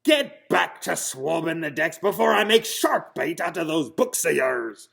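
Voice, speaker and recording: A man's voice: a little high, expressive, a little slow, creaky, a bit bright, heavily acted. Man doing blustering pirate rabbit, big swooping voice. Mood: angry. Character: bossy, scornful pirate captain rabbit, loud blubberer when ruined.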